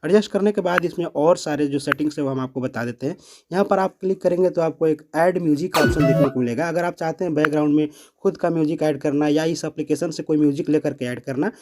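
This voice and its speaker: Fast-talking man giving an app tutorial. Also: a little high, music in the background. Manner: explanatory, repeats phrases step by step. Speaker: tutorial presenter. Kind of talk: step-by-step app tutorial voice-over.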